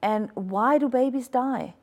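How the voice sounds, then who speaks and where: A woman's voice: a little high, measured pace, darkish tone. A woman hosting a panel discussion, speaking in a conversational way. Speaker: talk show host.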